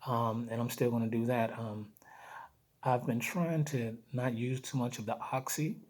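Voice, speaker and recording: A man with a hoarse, weak voice giving a casual video diary update, speaking haltingly and repeating phrases for emphasis. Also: medium pitch, steady tone, a little mellow. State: hoarse, weak voice after throat surgery. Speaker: vlogger giving health updates. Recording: noticeable background noise.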